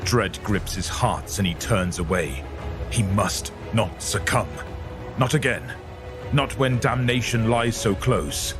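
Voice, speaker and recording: A man reading dramatic scripted narration for a dark audio story. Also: lowish voice, takes it slowly. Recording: narration over loud music.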